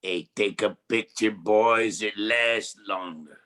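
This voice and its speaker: Man playing slow-drawling wisecracking noir private eye. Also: lowish voice, bright, forceful tone. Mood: cocky. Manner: glib, slangy. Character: wisecracking sweet-talking 1940s noir private detective, male. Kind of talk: campy 1940s radio noir acting.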